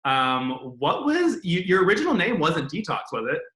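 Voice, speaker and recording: A male interview host with an upbeat, polished delivery, moving from a scripted intro into his questions. His voice sits a bit high and sounds bright. The room is echoey, with faint music underneath. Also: wildly swooping pitch, moderate pace.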